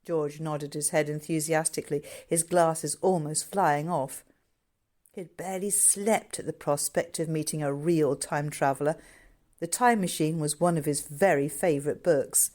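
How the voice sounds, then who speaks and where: Female author-narrator, lowish voice, scripted novel reading. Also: steady tone, moderate pace, a little mellow.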